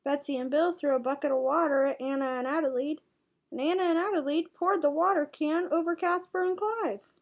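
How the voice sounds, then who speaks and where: A woman tutor reading a storybook aloud to young children in an expressive way. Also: high voice, fairly quick. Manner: expressive, voices the characters' dialogue.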